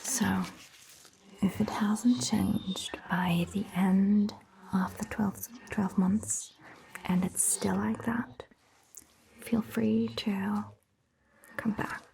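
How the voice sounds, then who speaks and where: A woman playing a gentle, reassuring vampire doctor in a whispered, breathy ASMR roleplay, soothing and patient. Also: medium pitch, steady tone, a little hiss or hum, low-quality recording. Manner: slow, soothing, patient explaining.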